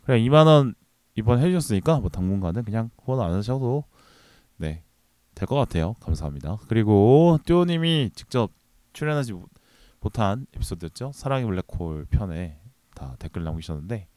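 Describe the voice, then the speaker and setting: Male podcast host, low-set voice, laid-back rambling delivery, dry close mic. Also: brisk, no echo. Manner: relaxed, rambling, many fillers and trailing remarks. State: recovering from a cold. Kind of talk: casual solo movie podcast talk.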